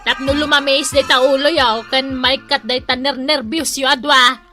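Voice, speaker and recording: A woman's voice: sits high, fairly even intonation, moderate pace, over music, close to an everyday voice. A woman with an Ilocano Filipino accent playing a chatty, helpful snack vendor in a comic radio drama. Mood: friendly. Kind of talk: comedic radio drama acting.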